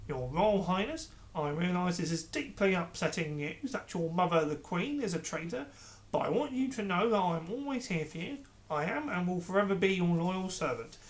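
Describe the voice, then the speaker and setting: A narrator voicing a scheming male royal adviser who speaks slowly and soothingly. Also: mid-range voice, lively intonation, lightly acted. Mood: falsely soothing. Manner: slow, measured, falsely soothing. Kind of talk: storybook character reading.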